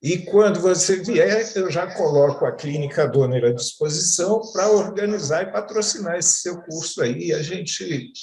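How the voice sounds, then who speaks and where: A man's voice: sits high, moderate pace, few pauses, darkish tone, reverberant, rough recording. A Brazilian man hosting an interview, who speaks politely and reads out greetings from viewers. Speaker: interviewer, professor.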